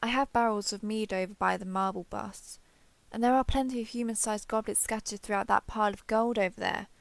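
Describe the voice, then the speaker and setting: Casting: vain, imperious female dragon played by a woman for audio roleplay; theatrical, formal, gravelly edge, close dry sound. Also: mid-range voice, fairly even intonation, a little fast, dry recording, close to an everyday voice. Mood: pondering, hospitable. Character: grand, vain female dragon, menacing yet eager and socially clueless. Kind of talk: scripted audio roleplay addressed to listener.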